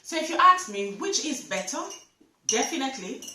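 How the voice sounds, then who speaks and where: A woman's voice: high-pitched, very animated, moderate pace, pushed and bright, roomy sound, distant mic, slight background noise. A middle-aged woman from Jamaica with a Jamaican accent, chatting to camera as a travel vlogger, repeating words and talking straight to her viewers. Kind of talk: casual talk to camera.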